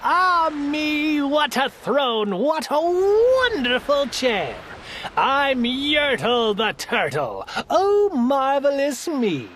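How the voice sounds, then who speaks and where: A woman voicing a boastful, tyrannical male turtle king in a storybook reading, loud and grandiose. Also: sits high, very animated, very slow, a little hiss or hum, voice pushed up, exaggerated voice. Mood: gloating.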